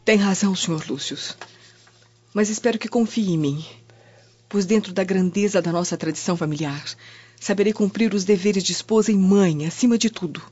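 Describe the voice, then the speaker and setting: A woman plays an earnest, deferential young noblewoman with a Brazilian accent. Her voice is mellow and breathy, heard in a muffled radio drama recording over soft music. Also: medium pitch, normal speed, natural voice. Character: dutiful, respectful young Roman noblewoman, earnest daughter. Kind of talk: dramatic radio play acting.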